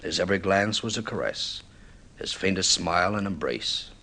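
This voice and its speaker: An actor playing a middle-aged film director, intense and mournfully insistent, with theatrical, deliberate delivery in vintage radio drama style. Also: male voice, deep voice, lively intonation, gravelly, sharp tone, full character voice. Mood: impassioned, persuasive.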